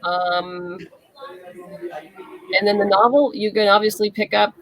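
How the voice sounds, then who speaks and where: A woman's voice: medium pitch, steady tone, a little slow, no room sound, rough recording. A woman speaking casually as the guest in an interview.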